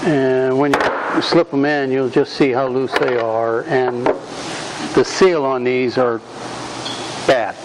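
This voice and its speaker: A man giving a live workshop demonstration in a club meeting room, projecting his voice loudly and rambling along with frequent ums. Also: medium pitch, fairly even intonation, slow. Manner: loud, projecting without a speaker system. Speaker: woodturning demonstrator. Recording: noisy room recording.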